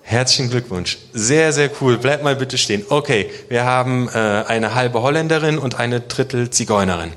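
A man's voice: medium pitch, moderate pace, hardly any pauses, a bit bright. A man from Germany preaching to a congregation in a casual, conversational way, often posing rhetorical questions. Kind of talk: conversational sermon addressing a congregation.

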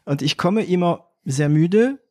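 A man's voice: sits high, lively intonation, measured pace. A man from France interviewing a guest in a chatty, playful way. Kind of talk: casual interview talk.

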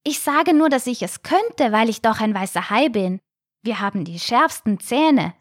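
A gentle little shark boy from a children's storybook, voiced by a woman. His voice is lifted high, and he explains himself with earnest eagerness. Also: expressive, normal speed, no echo, studio quality. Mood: earnest, insistent.

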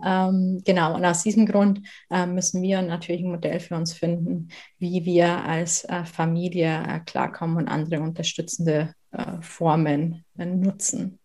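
A woman from southern Bavaria with a light Bavarian accent, speaking in a fairly low voice. She talks earnestly and thoughtfully, pausing often with fillers as she gathers her words. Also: little pitch movement, warm tone. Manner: frequent hesitations, thoughtful and earnest. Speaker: guest, working mother. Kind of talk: conversational interview.

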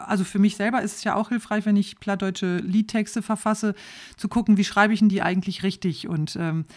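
Host: woman in her forties, mid-pitched, engaged, hesitant restarts. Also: darkish tone. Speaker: interviewer. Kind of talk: conversational interview.

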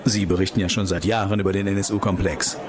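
A man's voice: sits low, steady tone, a little fast, a faint crowd, close to an everyday voice. A man playing an ambitious investigator in a radio play, politely combative, with pointed and sardonic questioning. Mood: sardonic, probing.

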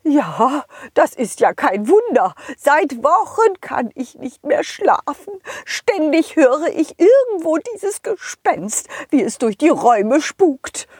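A woman's voice: high-pitched, sing-song, slow, hardly any pauses, a little mellow, no echo, clean studio sound, full character voice. A woman voicing an anxious, tired king in a children's audio story, stammering and fretting as he talks. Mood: weary, anxious. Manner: stammering, dragging out words, fretful.

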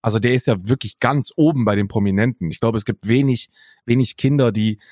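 A man's voice: mid-range voice, brisk, darkish tone, no echo, clean studio sound. A man co-hosting a podcast in casual chat, a slangy and sardonic storyteller. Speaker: podcast co-host.

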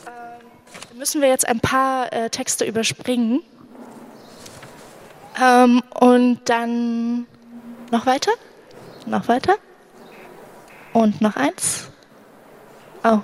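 A woman from Syria whose first language is Arabic, reading her literary texts at a public event with a measured delivery and hesitant fillers between passages. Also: a little high, flat delivery, a little hiss or hum. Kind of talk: live literary reading with spoken asides.

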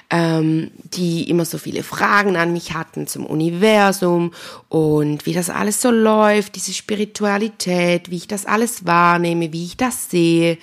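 A woman with a Swiss German accent speaks in a low voice with plenty of creak. She talks informally and straight to the listener, as the host of a casual solo podcast. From Switzerland. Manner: chatty and informal, addresses the listener directly. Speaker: podcast host and spiritual healer.

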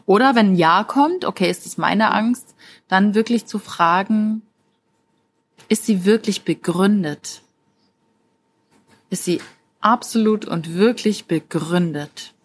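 A woman speaks in the manner of a life coach, at a middle pitch. She addresses the listener directly and encouragingly, in an informal monologue meant to motivate. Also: takes it slowly, long pauses, slightly rough. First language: German.

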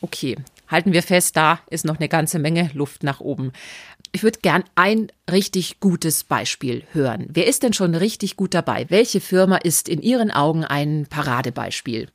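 A woman interviewer asking brisk, probing questions. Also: low voice, expressive, dry recording, clean studio sound.

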